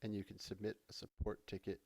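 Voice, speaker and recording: A man gives an instructional voice-over for a screen recording. His voice sits on the low side with plenty of vocal fry. He talks conversationally, like an instructor explaining each step in turn. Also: completely flat delivery, fast talker, a little mellow. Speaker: software tutorial instructor. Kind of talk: casual instructional screencast narration.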